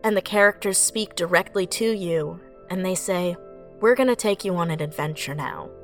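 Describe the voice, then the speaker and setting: A woman's voice: on the low side, moderate pace, soft background music. Effusive woman in her late twenties. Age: late 20s. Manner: effusive, earnest. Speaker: audio drama writer and voice actor. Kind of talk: reflective interview talk.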